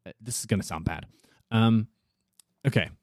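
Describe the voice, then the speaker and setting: A man from South Africa, an actor chatting casually on a podcast with a husky, soothing voice and a thoughtful manner. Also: on the low side, moderate pace, no room sound, studio quality.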